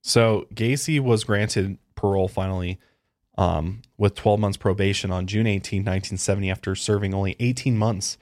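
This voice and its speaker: A man with a fairly low voice reads through a true-crime case on a podcast. His delivery is relaxed, with fillers and quick asides. The studio mic sounds dry and close, with no room behind him. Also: no echo. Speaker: podcast co-host. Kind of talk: casual true-crime podcast chat, reading out case facts.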